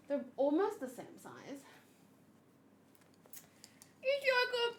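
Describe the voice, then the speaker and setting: Casting: female livestream host, high voice, casual and exclamatory, chats off the cuff with viewers. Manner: animated, exclamatory, thinking aloud. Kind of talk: casual livestream chat, reading and answering viewers.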